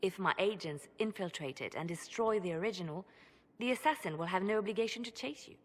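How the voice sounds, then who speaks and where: An actress playing a confident, pragmatic spymaster in a fantasy game cutscene, direct and insistent. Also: female voice, mid-range voice, animated, a little fast, close to an everyday voice. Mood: insistent, assured. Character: pragmatic, confident female spymaster, cool and familiar. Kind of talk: scripted video game cutscene acting. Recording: long hall reverb.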